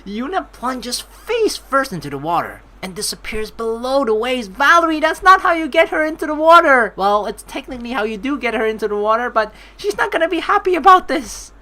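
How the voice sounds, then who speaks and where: A woman's voice: high-pitched, normal speed, few pauses. A woman doing let's play commentary, expressively reading the narration aloud and reacting to it, with game music in the background. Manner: expressive, exclaiming, reacts out loud. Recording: faint game music behind the voice.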